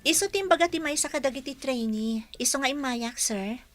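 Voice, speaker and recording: An actress playing an earnest, polite young training coordinator in a radio drama. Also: female voice, high voice, expressive, fairly quick, soft background music.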